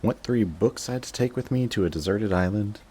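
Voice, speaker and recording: A man's voice: on the low side, moderate pace, dark tone, slightly rough. A man playing a sarcastic, jaded supervillain in a scripted roleplay audio drama, with a dry, taunting way of talking to an old rival. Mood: annoyed, sarcastic.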